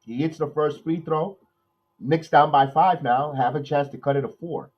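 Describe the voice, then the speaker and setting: A man, nasal from a cold, hosting a watch-along podcast, calling plays with excitement and then rambling through his opinions. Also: fairly high pitch, moderate pace, muffled recording. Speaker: podcast host doing live play-by-play. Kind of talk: watch-along game commentary and chat.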